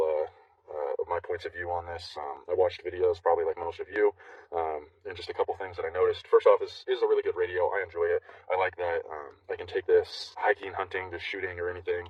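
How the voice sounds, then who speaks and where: Male, low-pitched, quick talker, informal off-the-cuff reviewer; slightly distant, dull mic sound. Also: level tone, no echo. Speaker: CB radio owner giving a product review. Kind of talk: casual unscripted review chat.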